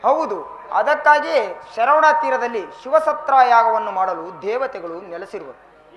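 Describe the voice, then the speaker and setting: A man's voice: high-pitched, lively intonation, unhurried, fairly bright tone, lo-fi sound. A man playing a devout divine counsellor, making formal, respectful appeals in mythological stage drama. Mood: respectful, earnest. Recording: roomy echo with faint music.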